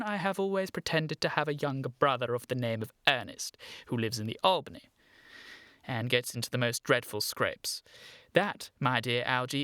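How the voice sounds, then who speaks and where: An earnest, evasive young English gentleman in a radio comedy. His pitch moves widely as he speaks in a proper, defensive, easily flustered way. The recording is very dry. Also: no room sound. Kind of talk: comic radio play acting.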